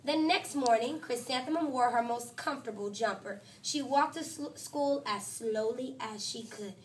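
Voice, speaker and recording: A woman teacher reading a picture book aloud to her class, with lively, expressive storytelling. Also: moderate pace, far from the mic, a little hiss or hum. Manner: expressive storytelling, voices quotes higher and louder.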